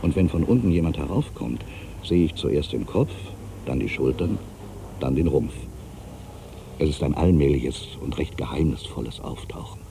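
A man playing an ageing, solitary writer who broods on his past in a deliberate, reflective literary monologue. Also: rumbling low, expressive, gravelly, warm tone, slight background noise, heavily acted. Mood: wistful. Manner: brooding, deliberate, with pensive pauses. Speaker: monologue performer. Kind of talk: literary dramatic monologue, reflective first-person narration.

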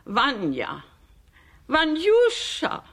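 Fussy peasant aunt, swooping speech. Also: female voice, high voice, huge pitch swings, drawn-out words, fairly bright tone, full character voice. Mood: scolding, pleading. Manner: fussy, emphatic, coaxing. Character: worried, scolding motherly peasant aunt. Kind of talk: German children's radio drama acting.

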